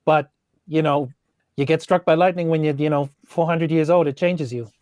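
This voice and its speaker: A man's voice: a little high, steady tone, normal speed, a little mellow, dry recording, clean studio sound, natural voice. A man playing a small, centuries-old forest gnome sorcerer in a tabletop game, rambling and tentative, a little defensive. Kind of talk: improvised tabletop role-play.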